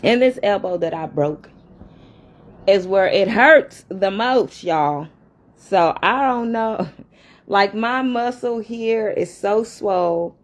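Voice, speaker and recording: A woman vlogging casually at home and talking straight to her viewers, chatting in an upstairs room with a fan running. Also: medium pitch. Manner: chatty, addresses viewers directly and often. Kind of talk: casual talk to camera.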